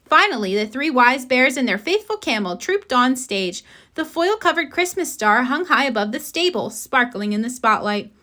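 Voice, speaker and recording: A woman's voice: sits high, very animated, normal speed, pushed and bright. A woman reading a children's picture book aloud as a storybook narrator. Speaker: storybook reader.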